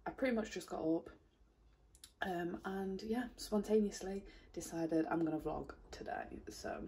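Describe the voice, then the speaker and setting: A woman's voice: lowish voice, a little slow, dark tone. A young woman, chatting casually to camera in her bedroom, rambling with lots of fillers and laughs. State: slightly croaky morning voice. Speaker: vlogger. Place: bedroom at home, indoors. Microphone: camera on a desk in front.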